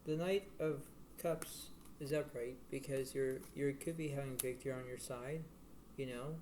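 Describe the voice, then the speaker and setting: Halting man reading tarot over background noise. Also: fairly even intonation, darkish tone. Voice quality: low-volume voice. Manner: halting, repetitive, rambling. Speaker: tarot card reader. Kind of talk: casual tarot reading, addressing the viewer. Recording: noisy homemade recording with room ambience.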